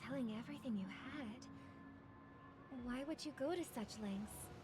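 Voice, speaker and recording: A woman playing a gentle, thoughtful young sister in a video game, speaking earnestly and questioningly. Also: on the high side, moderate pace, background noise, close to an everyday voice. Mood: concerned. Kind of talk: dramatic video game acting. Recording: music behind the voice.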